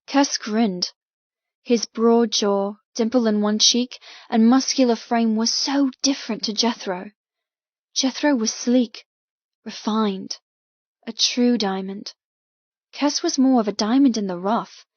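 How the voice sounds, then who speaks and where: A woman reading first-person fiction as an audiobook narrator, with dramatic, measured storytelling. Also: on the high side, no echo, dull audio.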